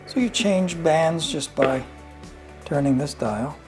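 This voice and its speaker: An older man giving a casual, unhurried product walkthrough, often pausing mid-sentence as he explains. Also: a little high, music in the background. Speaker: vintage radio reviewer.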